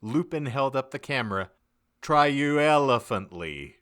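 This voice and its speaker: Animated man narrating with slow theatrical emphasis. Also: mid-range voice, smooth. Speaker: podcast narrator. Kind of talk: mock-dramatic comedic audiobook narration.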